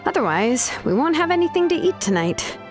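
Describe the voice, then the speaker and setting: A woman's voice: high voice, very animated, a little fast, music in the background. A woman playing an easygoing, confident young female adventurer in a video game, with a casual, breezy way of talking. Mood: relaxed.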